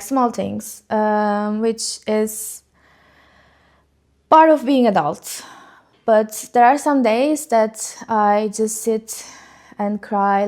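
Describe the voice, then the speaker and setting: A young Turkish-accented woman talks unscripted to her camera at home, wandering from point to point with many ums and likes. Her voice sits a little high, and she takes her time, speaking slowly and loosely. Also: darkish tone. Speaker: vlogger. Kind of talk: casual unscripted chat to camera.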